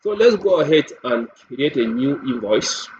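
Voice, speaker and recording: A dull, lo-fi recording with noticeable noise carries a man's voice of medium pitch. He walks through a software demonstration step by step. His delivery is deliberate, with repeated phrases and questions he answers himself. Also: very animated. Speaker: software demo presenter. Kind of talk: step-by-step screen tutorial narration. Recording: dull lo-fi mic with clear background noise.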